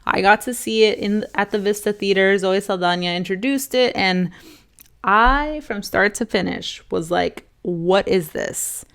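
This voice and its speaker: A young woman vlogger casually reviewing films to camera, rambling with lots of fillers and restarts, a little hoarse. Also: mid-range voice, unhurried, a bit bright. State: slightly hoarse. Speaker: film vlogger ranking movies.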